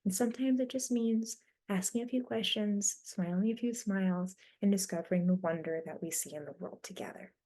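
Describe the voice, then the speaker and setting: A woman speaking as a panelist on a webinar, giving an earnest, measured answer. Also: mid-range voice, dark tone. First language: not Mandarin. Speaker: explorer panelist.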